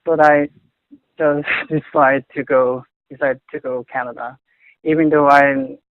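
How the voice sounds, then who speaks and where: Young man, Korean accent, non-native English, slow and hesitant, dry tinny line. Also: mid-range voice, level tone, no room sound. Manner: halting, searching for words, frequent restarts. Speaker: interviewee. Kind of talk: casual conversation in English. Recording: tinny phone-like audio.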